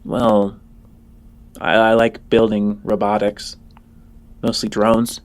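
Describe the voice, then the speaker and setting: A man playing a guarded fifteen-year-old orphan boy in a tabletop game, speaking haltingly as if reciting. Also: fairly low pitch, completely flat delivery.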